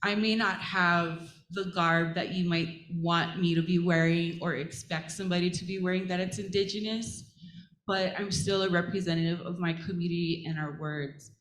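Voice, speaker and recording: A woman from Hawaii speaking as a panelist and assistant professor on a conference stage, earnest and warm, with frequent pauses and fillers. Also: lowish voice, steady tone. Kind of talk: panel talk to a live audience. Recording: roomy hall echo.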